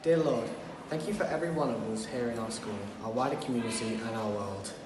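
A man's voice: mid-range voice, fairly quick. A teenage male student reading a prayer aloud. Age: teens. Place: indoor school room. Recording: echoey, distant mic, loud noise.

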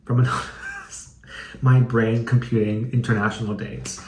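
A man's voice: mid-range voice, flat delivery, moderate pace, a little hiss or hum. Sassy man in an echoey room. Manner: sassy, campy, slangy and swearing. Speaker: YouTube host. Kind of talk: casual comedic dramatic reading with commentary.

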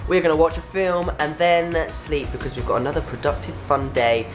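A young man vlogging his day out in a city, chatty and excitable, filmed on a handheld camera at arm's length. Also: fairly high pitch, a little fast, over music. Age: young adult. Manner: chatty, excitable, laughs often. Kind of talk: casual travel vlog. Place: city streets, subway and apartment.

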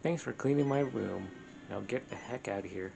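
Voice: mid-range voice, moderate pace, gravelly. A gaming host giving casual let's play commentary, grumbling in exasperation with mild swearing. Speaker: gaming channel host playing the game. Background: video game music.

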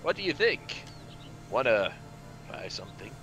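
A man's voice: medium pitch, sing-song, unhurried, fairly bright tone, soft background music, voice pushed down. A man voicing a fat, jovial travelling merchant in a game, with a salesman-like manner. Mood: coaxing.

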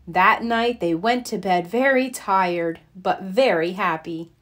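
A woman reading a children's storybook aloud as narrator, expressive and deliberate. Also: medium pitch.

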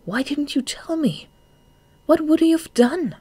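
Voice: mid-range voice, moderate pace, gravelly, dry recording, lightly acted. Young female bat for a storybook acted by a narrator: practical, distrustful, savage under dull mutters, dry close sound. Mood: questioning.

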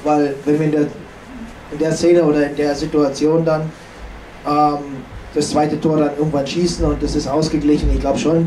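A man in his thirties, a hockey coach giving a sober, halting, self-critical post-game analysis. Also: on the high side, warm tone. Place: indoor press conference room. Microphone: handheld microphone. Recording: echoey room, distant, faint noise.

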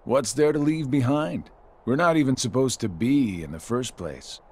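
A man playing a flippant, cynical member of a dark order in a game cutscene, offhand and drawling. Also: medium pitch, animated, moderate pace, husky. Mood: flippant, matter-of-fact. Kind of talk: video game cutscene acting.

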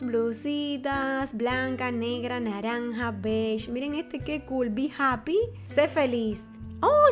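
A Cuban woman with a Cuban Spanish accent and a high voice, narrating a shopping vlog in voice-over. She talks in a chatty, exclaiming way, often laughing. Faint music plays under her. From Cuba. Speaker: shopping vlogger. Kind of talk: voice-over narration of a store haul. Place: home recording, voice-over.

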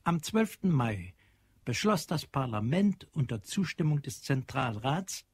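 A man's voice: mid-range voice, very animated, a little slow, a little mellow, husky, no echo. A man narrating a radio drama in a dramatic, literary, scripted style.

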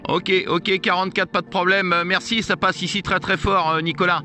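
A man's voice: high voice, fairly even intonation, fairly quick. A man chatting with other operators as an amateur radio operator. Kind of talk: radio contact chat.

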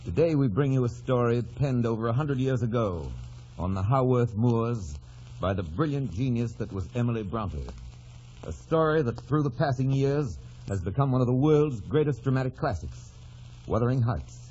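A man's voice: mid-range voice, animated, normal speed, warm tone, a little hiss or hum. A man speaking as host in a formal 1940s radio announcing style. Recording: muffled old radio broadcast, music behind.

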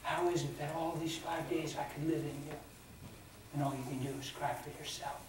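A grave, quiet voice of God given by a preacher, low and measured, barely above a murmur. Heavy room echo and distance surround it, with ambient noise underneath. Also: male voice, close to an everyday voice. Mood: calm rebuke. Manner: low, hushed, calm. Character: God speaking calmly and gravely. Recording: echoey hall from far off.

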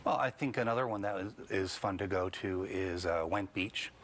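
Deep-voiced middle-aged man, measured. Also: a bit bright. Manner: measured, with hesitations and restarts. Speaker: guest, book co-author. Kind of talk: TV studio interview. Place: TV news studio. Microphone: lapel mic.

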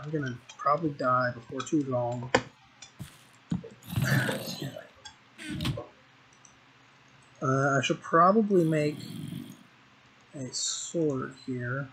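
A man's voice: mid-range voice, slow pace, long pauses, fairly bright tone. A young man giving casual, rambling commentary while playing a game, with frequent ums and restarts. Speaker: gaming video creator. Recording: noisy home recording with mouse clicks.

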